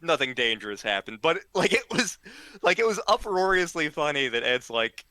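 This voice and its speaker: A man with a bright, slightly high voice tells funny stories in a casual, rambling way. His delivery is halting and giggly, unhurried overall and lively in pitch. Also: dry recording. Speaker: storyteller, regular of the show. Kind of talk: casual humorous anecdote telling.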